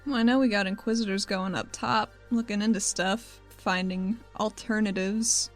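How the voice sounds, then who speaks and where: A woman's voice: mid-range voice, fairly even intonation, moderate pace, soft background music, close to an everyday voice. Woman playing an earnest, pragmatic young negotiator. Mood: earnest insistence.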